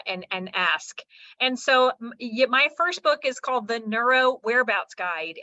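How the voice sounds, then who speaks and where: A woman's voice: on the high side, pushed and bright. A woman from Texas speaking as a guest presenter, chatty and folksy, in an informal online webinar. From Texas, United States. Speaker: guest speaker, therapist and educator. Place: online video call. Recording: slightly dull video-call audio.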